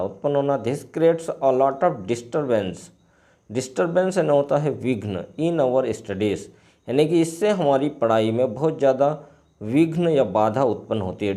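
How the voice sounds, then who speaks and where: An Indian man gives a lesson in a soft, mellow voice. His pace is slow and deliberate. As a Hindi-speaking teacher, he reads out phrases, repeats them and then explains them. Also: mid-range voice. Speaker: English teacher. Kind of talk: line-by-line bilingual lesson, reading and translating.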